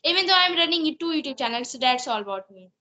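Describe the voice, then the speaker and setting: A woman's voice: high voice, animated, fast, sharp tone. A teenage girl from Jharkhand with an Indian English accent, speaking eagerly and politely as a student on a video call. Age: teens. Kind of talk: online conversation practice.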